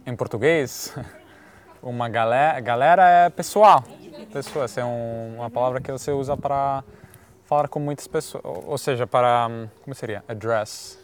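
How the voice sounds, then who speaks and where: A man's voice: mid-range voice, normal speed. Italian guy talking portuguese, accent, stops and restarts to find words. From Italy. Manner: searching for words, many restarts. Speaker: guest. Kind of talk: casual conversation.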